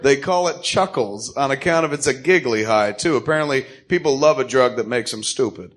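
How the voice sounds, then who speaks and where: A man's voice: mid-range voice, brisk, natural voice. A man playing a wry, matter-of-fact hired killer in a comic-book radio drama reading, speaking casually. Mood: explanatory. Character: gruff, businesslike male hired killer, grimly wry.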